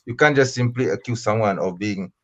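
A man's voice: on the low side, fairly quick, a bit bright. A man from Malaysia debating online, answering questions insistently with many fillers and restarts.

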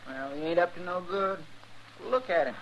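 An actor playing a nosy, deferential marshal's sidekick with an in an old-time radio drama. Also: male voice, sits high, animated, normal speed. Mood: suspicious. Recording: muffled, noisy old radio recording.

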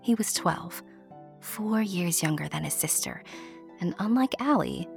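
Female storyteller, lower register, theatrical and intimate, playful direct address, soft music underneath. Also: animated, measured pace, creaky. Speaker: storyteller, narrator. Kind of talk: scripted storybook narration addressed to the listener.

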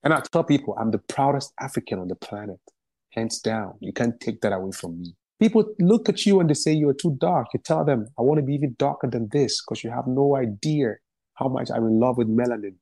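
A man's voice: medium pitch, a little fast, dark tone, dry recording. A man from Ghana, a musician and activist, answering interview questions in a passionate, emphatic way and repeating phrases for stress.

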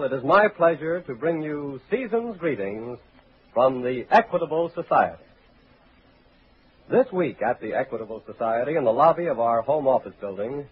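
A man's voice: medium pitch, husky. Formal 1940s male radio announcer, tinny sound. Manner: formal, measured, declamatory. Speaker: radio announcer and sponsor spokesman. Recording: tinny, faintly noisy 1940s radio recording.

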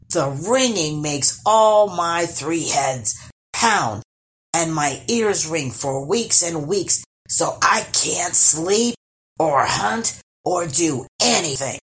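A woman voicing a huge, boastful male troll with three heads, speaking in a slow, loud growl. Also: low voice, lively intonation, full character voice. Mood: complaining.

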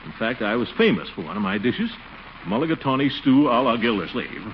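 An actor playing a pompous, flustered, soft-hearted middle-aged uncle in a 1940s radio comedy, exclaiming and blustering. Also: male voice, mid-range voice, big pitch swings, brisk, close to an everyday voice. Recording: noisy, muffled 1940s radio recording.

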